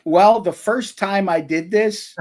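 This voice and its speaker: Male author, slightly high-pitched, casual and self-deprecating, restarts a lot. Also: slow, darkish tone. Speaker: author and audiobook narrator. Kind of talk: casual live-stream chat. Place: home recording setup. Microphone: cheap stand mic in a foam sound box.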